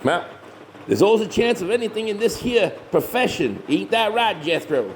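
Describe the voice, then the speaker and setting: Bossy high-pitched hillbilly monster hunter. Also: male voice, very animated, moderate pace, a little hiss or hum. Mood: glib. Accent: rural Southern US, hillbilly dialect. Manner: folksy, self-righteous, glib. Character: bossy, shameless hillbilly monster hunter, scheming older brother. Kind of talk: comedy horror audio drama acting.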